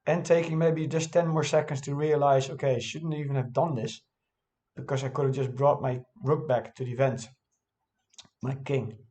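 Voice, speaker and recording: A man of middle pitch talks through his own game in English, which is not his first language. He thinks aloud casually, stopping often to correct himself and filling pauses with fillers. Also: steady tone, dark tone. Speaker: chess vlogger reviewing his own game.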